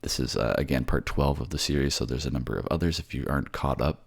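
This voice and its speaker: Man, extremely low pitch, heavy vocal fry, voice actor, narrating and addressing listeners directly. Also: fast. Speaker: voice actor and channel creator. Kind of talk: narration and casual sign-off to listeners.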